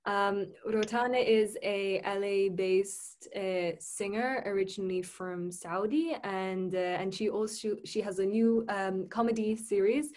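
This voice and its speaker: Woman, podcast host, hesitant and halting, casual chat, laptop call. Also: mid-range voice, steady tone, a little mellow. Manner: hesitant, many fillers and restarts. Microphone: laptop video call.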